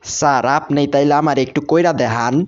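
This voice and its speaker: A man's voice: on the high side, brisk, close to an everyday voice. A man with a Bangladeshi accent voicing a cheeky young mouse pupil in a comic cartoon dub. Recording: muffled lo-fi audio with clear noise.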